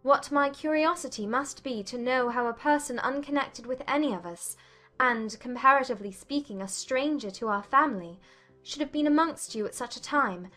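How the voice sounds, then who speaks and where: Woman acting a curious young gentlewoman, fairly high voice, articulate, formal, insistent, audiobook drama, faint music. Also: moderate pace. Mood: earnest, insistent.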